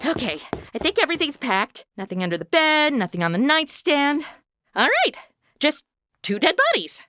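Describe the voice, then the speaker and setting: Woman playing a brash, high-voiced flippant young blonde. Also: sing-song, normal speed, background noise. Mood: bossy, impatient. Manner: breezy, sarcastic, slangy modern talk. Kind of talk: comedy audio drama acting.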